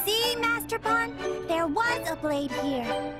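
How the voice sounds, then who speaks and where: A woman's voice: extremely high pitch, lively intonation, slow, over loud music, full character voice. A woman voicing a small, polite robot girl in a game, speaking in clipped, literal broken phrases. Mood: matter-of-fact. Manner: clipped, literal, third-person broken grammar. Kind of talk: video game cutscene acting.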